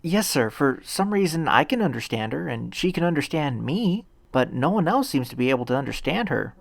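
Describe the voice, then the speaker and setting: Man voicing an earnest, flustered teenage boy hero, pitched up. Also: big pitch swings, a little fast, vocal fry, no echo, full character voice. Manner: stammering, polite, eager. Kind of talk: acted audiobook dialogue.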